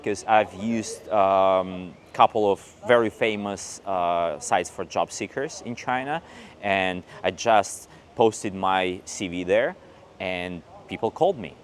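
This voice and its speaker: A man's voice: low voice, slow, a little hiss or hum. A man in his thirties from Belarus, an English teacher telling his story in a casual interview, recorded on a lapel mic. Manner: chatty storyteller, acts out quoted dialogue. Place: outdoors on a paved old street and in a cafe.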